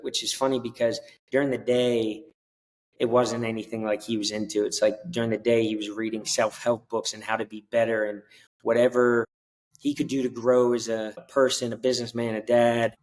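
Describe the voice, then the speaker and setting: A man who is a podcast guest, telling a story in a laid-back, casual way. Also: medium pitch. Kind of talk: casual interview conversation.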